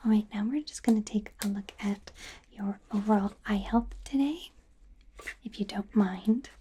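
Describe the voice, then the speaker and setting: A woman playing a friendly, flustered young werewolf doctor in training in an ASMR roleplay, speaking in a soothing, hesitant, breathy whisper. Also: medium pitch, moderate pace, dark tone, a little hiss or hum, close to an everyday voice. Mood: soft, attentive. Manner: soothing, hesitant, rambling, self-correcting.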